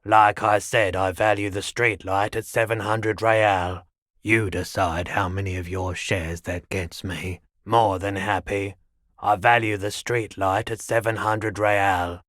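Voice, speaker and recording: Low-voiced, laid-back eccentric shopkeeper. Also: male voice, flat delivery, a bit bright, no echo, studio quality. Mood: polite, matter-of-fact. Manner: unhurried, musing, understated. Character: laid-back, eccentric pawnshop man, quietly earnest. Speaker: video game shopkeeper character. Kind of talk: video game character dialogue.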